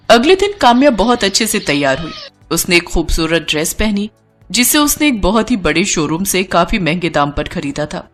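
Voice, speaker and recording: A woman reading scripted story narration as the storyteller. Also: medium pitch.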